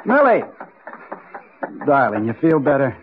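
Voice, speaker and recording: An actor playing a protective, matter-of-fact archaeologist husband in an old radio drama. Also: male voice, mid-range voice, wildly swooping pitch, unhurried. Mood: brisk, concerned. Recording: narrowband old radio recording, faint noise.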